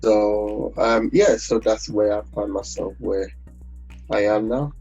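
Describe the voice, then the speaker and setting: A Nigerian man, a gospel singer, talking in a relaxed interview, rambling with many fillers and restarts. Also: fairly low pitch, takes it slowly. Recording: dull lo-fi audio with faint music.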